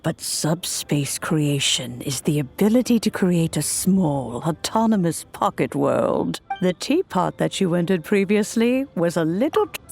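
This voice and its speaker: Game role: kindly old granny immortal, unhurried delivery with very lively intonation, nostalgic and fussing. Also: female voice, fairly low pitch, gravelly. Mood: fond, gentle. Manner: measured, hesitant, grandmotherly. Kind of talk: video game voice acting. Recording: soft game music behind.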